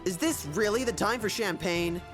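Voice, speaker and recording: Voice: mid-range voice, moderate pace, music in the background, lightly acted. An actor playing an anxious, loyal young sidekick, earnest and worried, in a radio adventure serial. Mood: hesitant.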